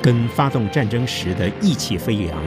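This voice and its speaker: A man's voice: mid-range voice, expressive, normal speed. A man narrating a scripted historical drama as its storyteller. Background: background music.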